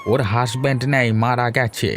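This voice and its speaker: A man's voice: mid-range voice, little pitch movement, moderate pace, over music, close to an everyday voice. A man voicing a poor, contented old village man in a cartoon, humble, earnest and familiar. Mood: glad, amused. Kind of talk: Bengali cartoon voice acting.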